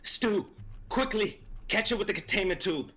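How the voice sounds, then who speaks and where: Husky, tense man playing a thieving lab assistant. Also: high-pitched, animated, moderate pace, sharp tone, heavily acted. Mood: urgent. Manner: urgent, emphatic. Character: desperate, hot-tempered young male lab assistant turned thief. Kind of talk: live dramatic script reading.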